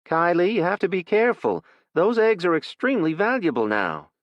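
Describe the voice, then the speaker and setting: A man voicing a protective young farm mother, his voice pitched well up and pushed louder. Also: big pitch swings, a little fast, dry recording, muffled recording. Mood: urgent, firm.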